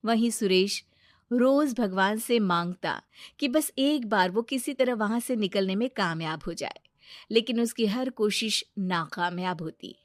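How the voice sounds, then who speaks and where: A woman's voice: mid-range voice, a little slow, no room sound, studio quality. A woman storyteller reading scripted narration for a moral tale.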